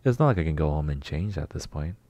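A man acting a shy, polite, flustered neighbor in a roleplay ASMR, hesitant, apologetic and breathy. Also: rumbling low, animated, fast talker, dry recording, lo-fi sound. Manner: hesitant, soft-spoken, apologetic, breathy sighs and whispers.

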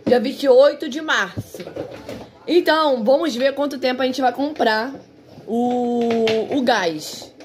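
High-voiced young Brazilian woman vlogging. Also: slow. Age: young adult. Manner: chatty, addressing viewers. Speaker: home vlogger. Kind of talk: casual cooking vlog narration. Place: small tiled home kitchen, indoors. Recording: noisy hissy home-kitchen recording.

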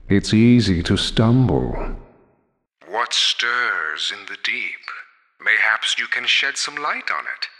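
An actor playing an ominous, mockingly dramatic aquarium exhibit announcer in a video game, with theatrical mystery. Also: male voice, fairly low pitch, a bit bright, full character voice. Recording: long cavernous hall reverb.

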